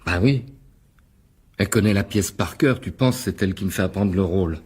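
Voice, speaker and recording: An actor playing a wily, self-important stage actor in a French radio drama, with hesitant, theatrical delivery. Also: male voice, low-pitched, brisk, slightly rough. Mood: calculating.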